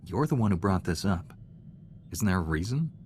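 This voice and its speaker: Low-voiced, composed Jedi Knight hero. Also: male voice, big pitch swings, normal speed, darkish tone, a little hiss or hum. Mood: calm, probing. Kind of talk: video game dialogue acting.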